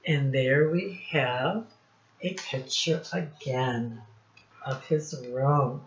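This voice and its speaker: A woman teacher reading a picture book aloud to young children in deliberate, separated phrases, asking them questions as she goes. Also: very deep voice, a little hiss or hum. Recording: dull, muffled lo-fi recording.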